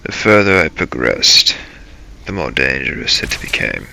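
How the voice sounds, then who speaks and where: Brooding vampire adventurer played by a man, game role-play narration. Solemn, dramatic, halting; gravelly, with sharp edge. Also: very deep voice, sing-song, a little hiss or hum, exaggerated voice. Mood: grave, measured. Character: brooding male vampire adventurer narrating his dark journey. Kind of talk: improvised in-character role-play narration over gameplay.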